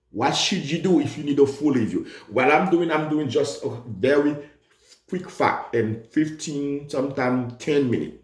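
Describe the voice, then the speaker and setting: Animated man teaching in an echoey room. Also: mid-range voice. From Haiti. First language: Haitian Creole. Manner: animated, emphatic, repeats key points. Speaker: nursing exam tutor. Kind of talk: lively exam review lesson.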